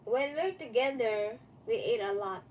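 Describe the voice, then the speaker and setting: A woman's voice: a little high, lively intonation, unhurried, darkish tone. Chatty Filipino-accented woman, distant mic. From Philippines. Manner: chatty, teasing, tag questions. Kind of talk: casual livestream chat. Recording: distant mic, faint noise.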